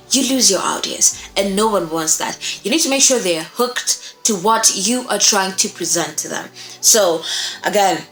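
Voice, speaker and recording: A woman's voice: mid-range voice, fairly bright tone. Young woman teaching in an echoey room. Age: young adult. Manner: emphatic, often checking in with "okay?". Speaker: voice acting instructor, presenter. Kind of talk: instructional talk to camera. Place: small indoor room, seated. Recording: echoey room with faint music.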